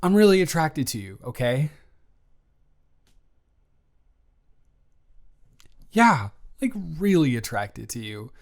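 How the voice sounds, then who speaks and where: A man playing a flustered, evasive young roommate in an improvised audio roleplay, rambling and halting with theatrical exasperation. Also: fairly high pitch, sing-song. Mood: flustered confessing. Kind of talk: improvised intimate roleplay monologue.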